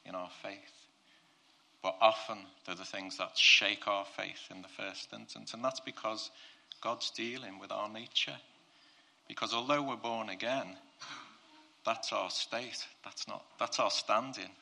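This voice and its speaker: A man preaching to a congregation, with a croaky voice that he mentions himself. He talks earnestly and often repeats and restarts his phrases. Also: fairly low pitch, sharp tone. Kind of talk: prayer and sermon to a congregation.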